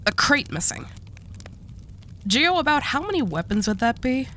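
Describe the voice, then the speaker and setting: A woman's voice: high-pitched, very animated, moderate pace, sharp tone, slight background noise, full character voice. A woman playing a commanding yet haunted, sardonic female mafia boss in an audio roleplay. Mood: sardonic, serious.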